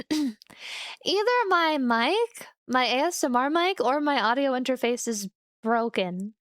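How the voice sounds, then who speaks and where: Young woman streamer yapping to chat, high voice, dry close mic, giggly and laid back. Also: slow pace, dry recording. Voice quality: relaxed, chatty, giggly. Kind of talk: casual chat with stream viewers. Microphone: studio microphone.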